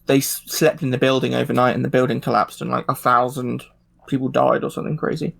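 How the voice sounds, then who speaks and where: A man's voice: mid-range voice, level tone, fast, gravelly, fairly bright tone. A man chatting casually as a podcast panelist, hesitant and thinking aloud as he goes. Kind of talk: casual discussion.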